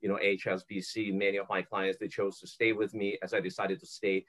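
A man's voice: on the low side, flat delivery, fairly quick. A man from Ukraine hosting an interview podcast, asking long, rambling questions full of fillers. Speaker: host.

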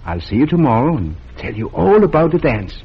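An actor playing a kind, calm small-town doctor who speaks gently and reassuringly, in 1940s radio acting. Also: male voice, mid-range voice, fairly quick, breathy edge, exaggerated voice. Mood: gentle. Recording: muffled old radio broadcast.

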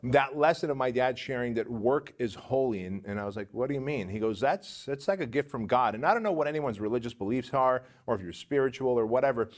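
A man's voice: medium pitch, fast talker, hardly any pauses. Upbeat man telling podcast stories. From Armenia. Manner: animated storyteller, upbeat show opener. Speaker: podcast co-host. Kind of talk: casual podcast conversation. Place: podcast studio with cameras.

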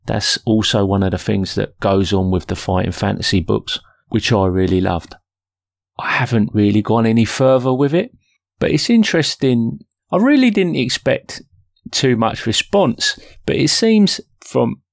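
A man's voice: mid-range voice, vocal fry, no room sound, clean studio sound. A man hosting a podcast, talking casually and informally in a self-deprecating way. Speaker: podcast host. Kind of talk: casual solo podcast talk.

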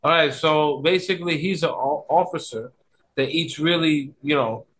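A man with a bright, forward voice that sits a bit high. He banters casually and emphatically, slangy and repetitive, like a guest hanging out on a podcast. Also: normal speed. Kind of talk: casual banter about movies and games.

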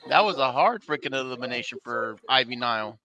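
A man's voice: medium pitch, brisk, muffled recording. A man co-hosting a live podcast from a bar, joking and swearing as he reacts to wrestling. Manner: excitable, swears freely, joking. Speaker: podcast co-host. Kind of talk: casual live banter watching wrestling. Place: bar during a live show. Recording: noisy bar crowd behind.

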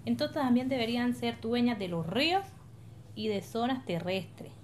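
A woman's voice: fairly high pitch, lively intonation, moderate pace, a little hiss or hum, close to an everyday voice. A woman with a Colombian accent acting an encouraging, patient village leader in an educational radio drama, explaining things colloquially. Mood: questioning, explaining. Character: encouraging, patient woman leading her coastal village community.

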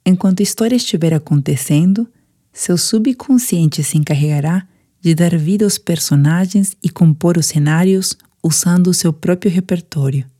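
A Brazilian woman with a low, soft-edged, mellow voice leads a guided meditation for sleep. She speaks gently and without hurry, in the measured way of someone reading a relaxation script. Her delivery is soothing throughout, with a dark, relaxed tone.

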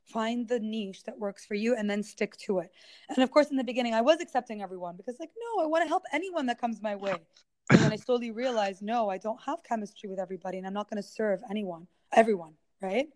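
A woman from the United States, a guest who works as a healer, talking earnestly and in an animated way in casual conversation. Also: fairly high pitch, quick pace.

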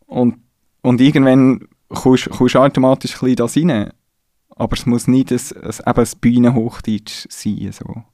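Mellow Swiss man, thoughtful. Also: medium pitch, level tone, normal speed, dry recording, studio quality. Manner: thoughtful, searches for words with restarts. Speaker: guest musician. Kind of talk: casual interview conversation.

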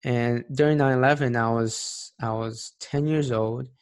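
An earnest man in his late twenties talks through his own experiences in a plain, reflective way. His voice sits at an ordinary, mid-range pitch for a man, unhurried and sincere as he thinks aloud. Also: fairly even intonation, smooth. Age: late 20s. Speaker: speaker sharing personal experience. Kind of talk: personal monologue.

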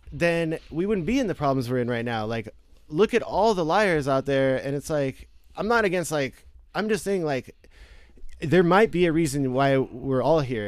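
A male podcast guest with a fairly high voice who talks in a casual, reflective way. He swears freely and keeps checking in with "you know what I mean?". The recording is dry and close. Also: dry recording.

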